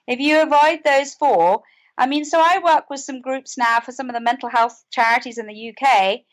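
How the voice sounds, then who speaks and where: High-pitched British woman in conversation. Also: moderate pace. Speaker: podcast guest, author and mental health advocate. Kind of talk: conversational interview.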